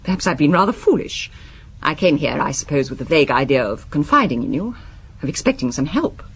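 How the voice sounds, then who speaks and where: A woman's voice: deep voice, sing-song, a little fast, husky, heavily acted. An actress playing a proud, self-possessed Parisian businesswoman in a radio drama, formal, guarded and defensive. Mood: defensive, cool.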